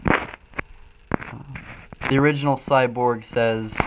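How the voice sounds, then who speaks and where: A man from Illinois with a Midwestern accent, loosely and profanely talking through chat comments on a livestream while high. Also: steady tone, takes it slowly, a bit bright, a little hiss or hum, rough recording. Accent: Midwestern American. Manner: loose, profane, frequent ums and uhs. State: high, coughing from smoking. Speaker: streamer reading chat.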